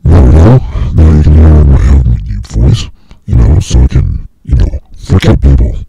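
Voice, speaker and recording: A man speaking through a voice changer that drops his voice very deep, playfully showing off the effect. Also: expressive, normal speed, creaky, dark tone, husky, no room sound, lo-fi sound, exaggerated voice. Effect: pitch-lowered voice changer.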